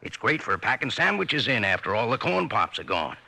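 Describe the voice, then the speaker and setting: A man playing a folksy, chummy cowboy pal with a Western accent, pitching in a vintage radio commercial. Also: big pitch swings, a little fast, gravelly, bright, forceful tone, exaggerated voice. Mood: chummy, hearty. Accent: American Western. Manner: folksy, hearty, chatty. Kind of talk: 1950s radio cereal commercial.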